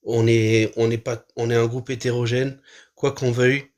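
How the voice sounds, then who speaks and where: Streamer, man, average pitch, heated reaction, lots of fillers and swearing. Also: flat delivery, normal speed, darkish tone. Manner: impassioned, swears, hesitates with many fillers.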